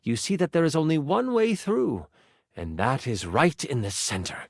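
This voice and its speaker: Highly animated game master die. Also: male voice, moderate pace, vocal fry, dry recording, clean studio sound, exaggerated voice. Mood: hushed, atmospheric. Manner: dramatic, expressive, playful. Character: excitable male D20 die personified as dramatic game master. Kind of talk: theatrical tabletop fantasy storytelling.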